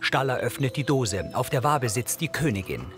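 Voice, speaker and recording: A man's voice: mid-range voice, sing-song, normal speed, a bit bright, soft background music. Male narrator, audio description, neutral, precise, scripted present-tense scene reading.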